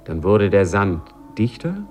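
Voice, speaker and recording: Knowing narrator, 1950s radio play. Deep, measured, ironic, literary delivery. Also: male voice, big pitch swings, soft background music. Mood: grave, suspenseful. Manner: measured, ironic, addresses listeners directly. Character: wry, knowing male storyteller. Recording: vintage 1950s radio recording.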